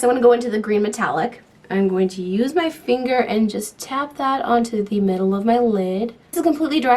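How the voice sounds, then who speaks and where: A woman's voice: on the high side, darkish tone. A young woman vlogger, talking through a makeup tutorial in a chatty, enthusiastic way, with her voice a little off from a lingering cold. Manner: chatty, enthusiastic, talks while applying makeup. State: voice affected by a cold. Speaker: beauty vlogger. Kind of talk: casual makeup tutorial talk.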